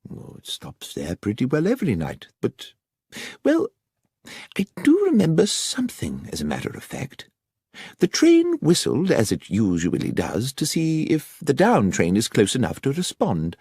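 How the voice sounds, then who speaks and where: A man's voice: medium pitch, wildly swooping pitch, moderate pace, a little mellow, slightly rough, no room sound, voice pushed up, full character voice. A man voicing an elderly, genial country doctor who talks in a chatty, obliging way, as in an audiobook. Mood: helpful, recollecting. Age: older.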